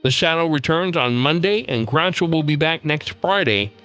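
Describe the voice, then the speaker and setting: A man's voice: fairly high pitch, expressive, normal speed, fairly bright tone, clear, resonant tone, lo-fi sound. A man hosting a podcast, giving scripted commentary about old programs. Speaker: podcast host. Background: faint music.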